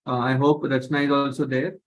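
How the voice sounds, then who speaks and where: A man with an Indian accent moderates an online webinar. His manner is polite and courteous, and his voice sits at a middle pitch. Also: little pitch movement, fairly quick, rough recording.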